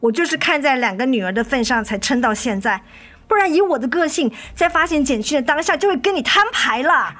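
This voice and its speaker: Betrayed wife and mother for radio drama: fairly high voice, tired but unbending, reproachful. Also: lively intonation, a little fast, a bit bright. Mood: resolute. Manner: firm, reproachful. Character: weary, resolute wife and mother confronting her cheating husband. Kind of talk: Mandarin radio drama acting. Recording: studio radio drama with music underneath.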